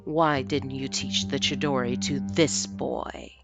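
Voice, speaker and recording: A woman voicing a cold, commanding, taunting fox demon spirit in a dramatic story reading, speaking deliberately and threateningly. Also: rumbling low, steady tone, gravelly, over loud music, voice pushed down, full character voice.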